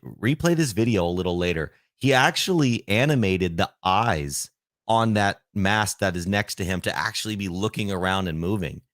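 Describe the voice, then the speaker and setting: A man's voice: lowish voice, fairly bright tone, dry recording, studio quality. A man hosting a casual podcast interview, enthusiastic and addressing viewers directly. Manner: enthusiastic, praising, talks to viewers. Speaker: podcast host.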